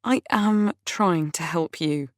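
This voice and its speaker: A woman's voice: fairly low pitch, dry recording, clean studio sound. A woman voicing a patient friend who speaks carefully and holds back her temper. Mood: restrained. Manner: measured, restrained.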